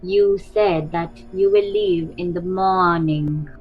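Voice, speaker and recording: A woman playing a formal, insistent household servant with stilted, halting speech, on a dull recording. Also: lowish voice, fairly even intonation, soft background music. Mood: deferential, insistent. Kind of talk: amateur radio play acting. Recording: dull, muffled studio recording.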